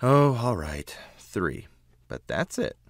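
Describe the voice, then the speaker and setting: A man's voice: medium pitch, sing-song, takes it slowly, no room sound, clean studio sound, voice pushed up. A man voicing a weary but fond mother pig in a storybook, firm and indulgent, with emphatic delivery. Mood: firm, relenting.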